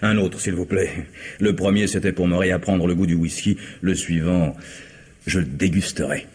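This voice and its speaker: A sardonic deep-voiced tramp. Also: male voice, fairly even intonation, moderate pace, darkish tone, breathy edge. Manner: mocking, wry, laughs at others. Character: sardonic cynical male vagabond tramp. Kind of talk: vintage radio drama acting.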